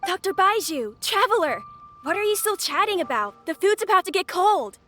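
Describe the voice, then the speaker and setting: A woman's voice: very high-pitched, very animated, moderate pace, sharp tone, heavily acted. A woman voicing a young girl in a video game. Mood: calling out. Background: background music.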